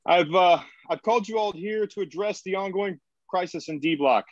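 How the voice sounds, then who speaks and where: Guy doing earnest colony boss in a drama read, measured, dry and a bit muffled. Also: high-pitched, dry recording, lightly acted. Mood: solemn, official. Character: earnest male colony leader trying to keep control. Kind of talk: screenplay table read.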